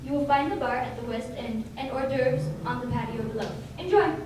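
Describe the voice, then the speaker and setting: A woman's voice: high voice, a little fast, lightly acted. Formal house servant, played by a woman, very echoey. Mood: formal, welcoming. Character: formal, polite house servant greeting party guests. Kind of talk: amateur radio play acting. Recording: very echoey room, distant mic, noisy.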